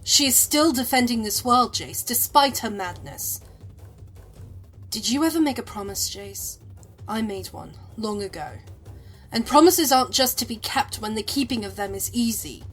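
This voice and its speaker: A woman playing a principled moonfolk sage in a fantasy audio drama, speaking formally, firm and measured. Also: fairly high pitch, expressive, fairly bright tone, faint music behind. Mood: solemn resolve.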